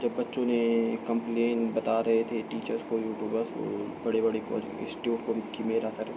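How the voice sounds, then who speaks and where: An Indian man giving an informal explainer as a video host, halting and repeating himself as he corrects his phrasing. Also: mid-range voice, muffled recording. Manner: halting, repetitive phrasing with frequent self-corrections. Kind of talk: informal explainer talk to camera. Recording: clear steady background hum and ambient noise.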